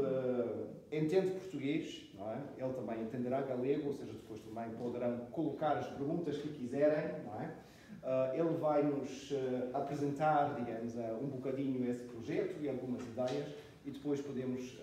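A man's voice: medium pitch, moderate pace, mellow, heavy reverb. A man introducing a guest speaker at a lecture, hesitant with many fillers, recorded from far off in a small room. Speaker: event host introducing the speaker. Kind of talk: spontaneous introduction. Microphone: far camera.

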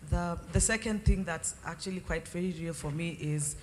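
A woman giving informal feedback at a church gathering on a handheld microphone, hesitant and pausing often. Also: fairly low pitch, darkish tone. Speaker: congregation member answering. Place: large open-sided tent gathering. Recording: noisy reverberant hall sound.

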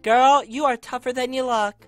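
A woman's voice: high-pitched, fairly even intonation, moderate pace, no echo, faint music behind, close to an everyday voice. A woman voicing a sassy, breezy friend in an animatronic game roleplay, familiar and nonchalant. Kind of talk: Minecraft roleplay video acting.

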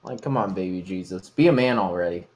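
A man's voice: low voice, fast, a little hiss or hum. A man playing a tabletop game, chatting casually with joking, crude banter. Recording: music behind the voice. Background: background music.

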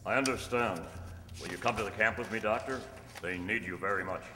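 A man playing a calm, upright masked cowboy hero with measured, formal delivery, in old-time radio style on stage. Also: fairly low pitch, close to an everyday voice. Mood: steady. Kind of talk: old-time radio drama acting read from script. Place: stage in a community hall. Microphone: stand microphone. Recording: echoey hall, humming background noise.

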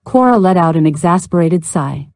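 A woman's voice: lowish voice, expressive, normal speed, darkish tone, no echo. A woman reading scripted narration from a science fiction novella as an audiobook narrator.

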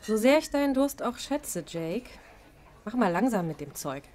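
A woman playing a cheeky, energetic, good-hearted barmaid in her thirties in an audio drama. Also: on the high side, normal speed, slight background noise. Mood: scolding, caring. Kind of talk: German audio drama acting.

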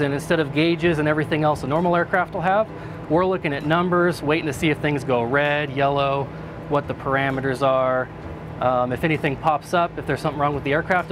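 A man in his thirties explaining a control station in a casual, plain-spoken way, with fillers, recorded inside a small equipment-filled room. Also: fairly high pitch. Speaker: sensor operator explaining a drone cockpit.

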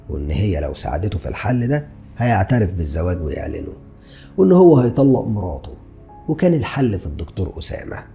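A man's voice: on the low side, gravelly, dark tone. A man in his thirties with an Egyptian Arabic accent, narrating a true crime story and asking the listener rhetorical questions. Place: small dark studio indoors. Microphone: podcast mic on stand in front.

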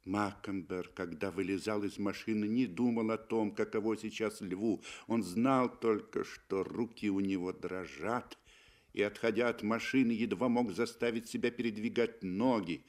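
Low-voiced man narrating dramatic literature. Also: moderate pace. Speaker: actor reading as narrator. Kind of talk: dramatic literary narration, 1960s Soviet radio.

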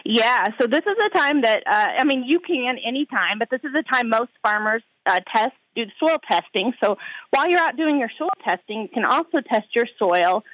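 A woman agronomist answering interview questions with frequent pauses and fillers. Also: fairly high pitch, lively intonation, a bit bright, no room sound, rough recording. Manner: hesitant, many fillers. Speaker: outreach agronomist guest. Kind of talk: interview by phone. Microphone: phone line.

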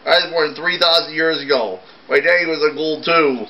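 A man's voice: on the high side, moderate pace, pitched above the performer's own voice, lightly acted. A man voicing a lonely male ghoul who talks in beatnik slang. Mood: moaning. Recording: dull lo-fi recording.

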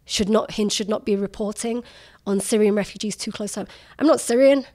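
A woman in her thirties speaks as a guest journalist, forthright and emphatic. She talks at an ordinary pitch in a loose conversational flow, full of casual fillers, and presses hard on the points she cares about. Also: brisk, mellow. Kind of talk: candid conversational interview.

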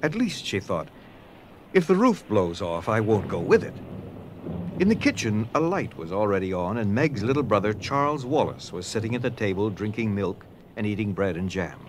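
Expressive male storytelling narrator. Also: fairly low pitch, moderate pace, background noise. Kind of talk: scripted dramatic storytelling narration.

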